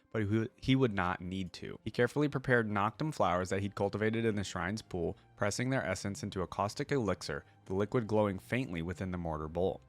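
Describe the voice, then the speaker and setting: Low-pitched man reading and reacting. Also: dry recording. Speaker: reaction video host. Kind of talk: reading lore aloud with casual commentary.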